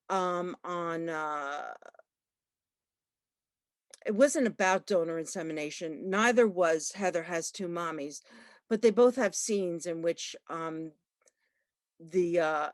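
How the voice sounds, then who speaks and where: A woman librarian giving a talk at an online book launch, halting, with many fillers and restarts. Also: lowish voice, no room sound, clean studio sound. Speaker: librarian and researcher. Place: online video call.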